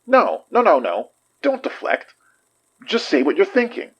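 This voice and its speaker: A man's voice: high-pitched, huge pitch swings, unhurried, husky, muffled recording, heavily acted. A man playing a stubborn, protective detective in a horror audio drama, arguing emphatically and with exasperation. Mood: exasperated. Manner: emphatic, argumentative.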